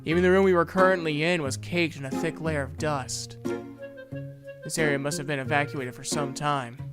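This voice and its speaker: An earnest, brooding young student acted by a man tells his story in the first person. His voice sits fairly high for a male, in audio drama style, with music clearly audible behind it. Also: close to an everyday voice. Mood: observant. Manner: earnest, brooding, introspective narration. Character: earnest young male student, a beast-folk huntsman in training. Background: background music.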